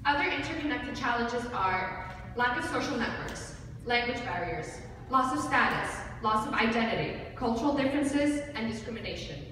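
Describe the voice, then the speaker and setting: A young woman delivers a formal, rehearsed presentation in a slightly high voice. The sound is distant and very echoey, with clear noise from the large hall. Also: expressive, normal speed, fairly bright tone. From Iceland, originally Kurdistan. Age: young adult. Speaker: student presenter. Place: large hall with seated audience. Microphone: far audience camera.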